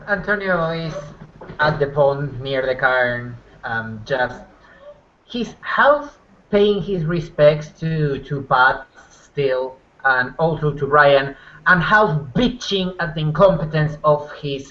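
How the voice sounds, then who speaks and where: A man roleplaying casually, narrating his character in a halting, sardonic, sweary manner. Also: a little high, expressive, pushed and bright, muffled recording. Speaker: tabletop roleplayer.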